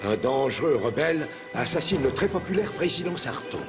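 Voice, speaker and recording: Pompous gloating cartoon villain, loud music. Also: male voice, mid-range voice, sing-song, lo-fi sound. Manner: formal, pompous, slow gloating delivery. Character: scheming villain prime minister, cold, gloating, power-hungry. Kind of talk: French cartoon dub acting.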